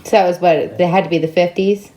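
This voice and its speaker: A woman asks casual interview questions, gently prompting and giving brief acknowledgments. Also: fairly low pitch, steady tone, fast, low-quality recording.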